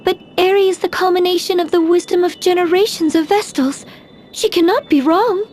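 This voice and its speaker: A devout proper game maiden, really high voice, formal, faint noise behind. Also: female voice, steady tone, fairly quick, darkish tone. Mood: firm. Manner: formal, precise wording. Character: prim, devout young maiden, formal and certain. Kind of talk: video game voice acting.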